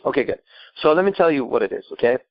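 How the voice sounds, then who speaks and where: A man's voice: mid-range voice, expressive, very fast, vocal fry, dry recording, muffled recording. A man from Iran giving improvised advice as a call-in show host, emphatic and blunt, repeating himself and asking rhetorical questions. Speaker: podcast host and coach.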